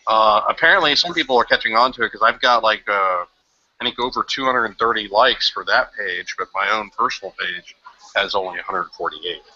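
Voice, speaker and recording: Deep-voiced, low-key man. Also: fairly quick, pushed and bright. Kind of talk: casual web show chat. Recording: muffled lo-fi recording.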